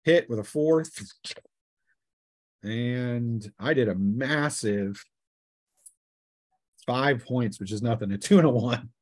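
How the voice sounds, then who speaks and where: A man's voice: mid-range voice, takes it slowly, long pauses, a little mellow, dry recording, clean studio sound. A man runs a tabletop game as game master, taking his time. He calls the action in plain, colloquial language, with very little room sound on his voice.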